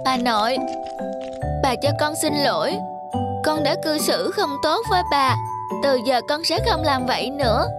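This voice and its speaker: A woman's voice: high-pitched, expressive, measured pace. A woman voicing a spoiled little schoolgirl in a children's cartoon, with a blunt, childish way of talking. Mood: sorry. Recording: loud music behind the voice. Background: loud children's music.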